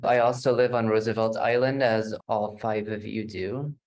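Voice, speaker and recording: A man's voice: on the low side, steady tone, normal speed, darkish tone. A man on a video call, joking at first and then earnest about acting as an outlet. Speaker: acting student guest. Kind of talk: casual video call introduction.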